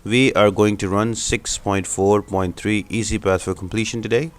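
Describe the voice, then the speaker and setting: A man's voice: fairly low pitch, fairly even intonation, normal speed. A man hosting a gaming channel, giving explanatory step-by-step commentary for a video game guide. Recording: music behind the voice. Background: music.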